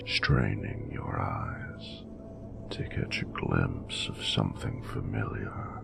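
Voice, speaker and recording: Abyssally deep, gravelly ancient dragon lover. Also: male voice, exaggerated voice. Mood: tender, regretful. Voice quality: very deep, gravelly, breathy rumble. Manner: slow, intimate, formal and poetic. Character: ancient, tender, courtly male dragon lover. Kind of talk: scripted romantic audio roleplay. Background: music.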